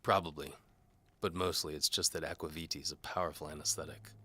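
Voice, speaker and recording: A man's voice: very deep voice, steady tone, fairly quick, pushed and bright, husky, full character voice. A man voicing a world-weary, wisecracking knight for hire in an audio drama, with a sardonic, hard-boiled manner. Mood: sardonic, defiant. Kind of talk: audio drama character acting.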